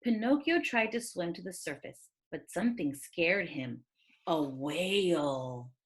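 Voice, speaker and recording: A woman reading a children's story aloud as a teacher, with expressive, dramatic delivery. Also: fairly low pitch, a little slow.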